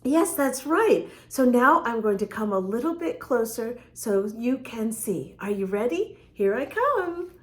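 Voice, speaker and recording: A woman's voice: fairly high pitch, measured pace, few pauses. A woman reading a picture book aloud to young children, talking directly to them and carefully sounding out words. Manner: animated, addresses young listeners, spells words out letter by letter. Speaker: children's story reader, host.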